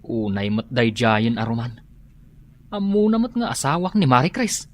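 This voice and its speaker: A man's voice: mid-range voice, big pitch swings, moderate pace, natural voice. A man playing a jealous, suspicious husband in a melodramatic radio drama, interrogating and scolding. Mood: suspicious, brooding.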